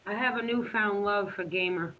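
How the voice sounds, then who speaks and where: A woman of nearly forty in a casual group chat, talking in a chatty, animated way. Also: medium pitch, normal speed, phone-quality sound. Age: 30s. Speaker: streamer.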